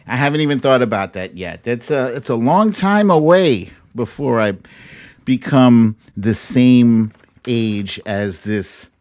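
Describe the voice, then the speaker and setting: A man's voice: mid-range voice, lively intonation, slow, a little mellow, no room sound. An American man in his fifties hosting a casual live online show, playful and jokey, drawing out words theatrically. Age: 50s. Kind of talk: casual livestream chat.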